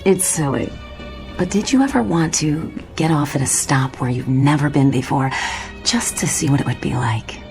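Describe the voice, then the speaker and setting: An actress playing a friendly, wistful commuter in an audio drama, casual and a little tentative. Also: female voice, sits low, normal speed, a little mellow, soft background music. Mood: shy, wistful.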